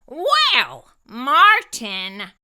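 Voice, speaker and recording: A woman's voice: high-pitched, wildly swooping pitch, extremely slow, pushed and bright, exaggerated voice. A woman voicing a stern, serious father who firmly admonishes his son in a storybook.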